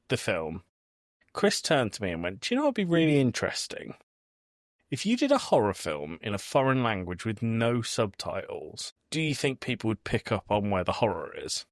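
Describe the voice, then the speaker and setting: A man hosting a podcast, chatting casually about films in an animated storytelling way. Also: medium pitch, dry recording, clean studio sound. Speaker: podcast host. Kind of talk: casual film review chat.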